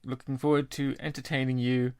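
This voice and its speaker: A man's voice: medium pitch, flat delivery, fairly quick, clean tone, dry recording, studio quality. A British man co-hosting a podcast in a casual, jokey and matey way. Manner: jovial, matey. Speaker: podcast co-host.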